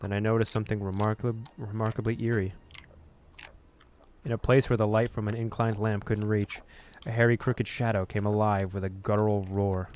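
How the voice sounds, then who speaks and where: Low-voiced man reading a horror story. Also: flat delivery, moderate pace, a little mellow, slight background noise. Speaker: gaming video host. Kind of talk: dramatic read-aloud of a horror visual novel with casual asides.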